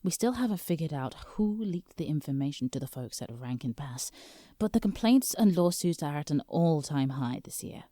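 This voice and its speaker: Woman acting a low, dry British female lawyer. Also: animated, normal speed, hardly any pauses, mellow, no echo. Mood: briefing, matter-of-fact. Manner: formal, explanatory, dry. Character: exasperated, businesslike female lawyer for Santa Claus. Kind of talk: scripted comedic audio roleplay.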